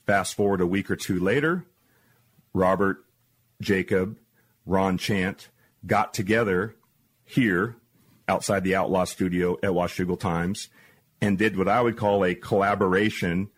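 Man, podcast host, fairly low voice, measured delivery. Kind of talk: podcast interview.

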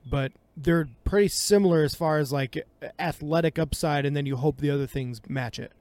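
A man co-hosting a casual sports podcast, talkative and analytical, quick to laugh. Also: on the high side, darkish tone, no room sound. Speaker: podcast co-host.

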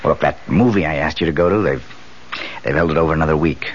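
An actor playing a cocky, smitten newspaper reporter with breezy, colloquial speech in a 1970s radio drama. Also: male voice, extremely low pitch, fast. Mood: smitten, tentative. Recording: dull, hissy 1970s radio recording.

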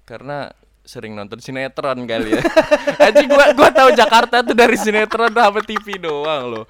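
Animated young man, loud laughing bursts. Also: sits high, normal speed. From Kalimantan, Indonesia. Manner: slangy, swears often, bursts of laughter. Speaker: guest co-host. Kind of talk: casual podcast chat.

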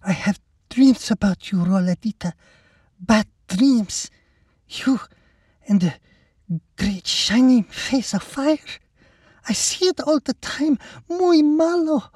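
A man voicing a haunted, fearful Mexican woman with a husky voice and a thick accent. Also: very high voice, slow pace, pauses often, darkish tone, no room sound, studio quality, distant mic, voice pushed up, full character voice. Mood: haunted, sorrowful.

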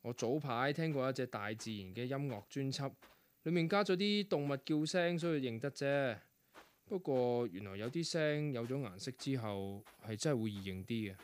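A man's voice: medium pitch, animated, moderate pace, natural voice. An actor playing a weary, grumbling young male composer in a radio drama. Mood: resigned, explaining. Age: young adult. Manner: grumbling, dry, sighing. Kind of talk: Cantonese radio drama acting.

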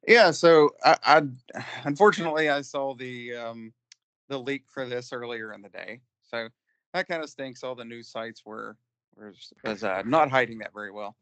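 A man's voice: mid-range voice, normal speed, fairly bright tone, smooth, no room sound. A man co-hosting a casual podcast chat, speaking hesitantly with frequent restarts and fillers. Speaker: podcast co-host.

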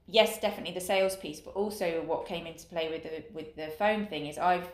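A woman talks casually and thoughtfully on a podcast, with a voice that sits a little low for a woman. She hesitates and restarts as she thinks aloud. The recording has a noticeable room echo. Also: brisk, slight background noise. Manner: reflective, hesitant with fillers. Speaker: podcast co-host. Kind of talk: casual conversational chat. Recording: roomy echo.

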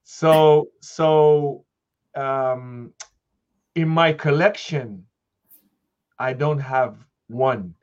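A man's voice: medium pitch, extremely slow, long pauses, smooth, lo-fi sound. A man hosting an interview, talking casually with frequent fillers and restarts. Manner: relaxed, rambling with restarts and fillers.

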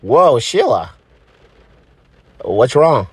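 Performer doing a sappy male lover, whimpering, needy, halting, pleading, slow, dry sound, romantic parody. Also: mid-range voice, big pitch swings, husky, no room sound, full character voice. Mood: pleading, confused. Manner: halting, pleading, repeats himself. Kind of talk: improvised parody of romantic melodrama.

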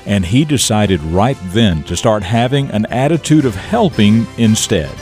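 A man hosting morning radio and narrating stories in an expressive, folksy, emphatic manner. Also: lowish voice, a little slow, soft background music. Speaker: radio show host and storyteller. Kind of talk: morning radio talk and dramatic story narration.

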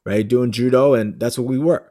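A man in his thirties hosting an interview, talking in an animated, friendly way and often checking in with "right?". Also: medium pitch, brisk. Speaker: podcast host and judo coach. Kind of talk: casual conversation.

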